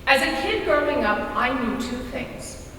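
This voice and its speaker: A woman telling a rehearsed family story on stage, measured and expressive, with deliberate pauses. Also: high voice, heavily acted. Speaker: readers theater storyteller. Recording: distant echoey hall with faint noise.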